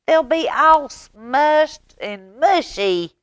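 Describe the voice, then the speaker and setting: A woman's voice: high voice, lively intonation, very slow, fairly bright tone, no echo, clean studio sound, voice pushed up, heavily acted. A woman voicing a bossy, eager little big sister from a picture book in an emphatic voice. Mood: dismayed.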